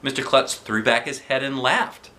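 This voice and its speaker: A man narrating a children's storybook aloud in an animated style. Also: mid-range voice, normal speed. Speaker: storybook reader.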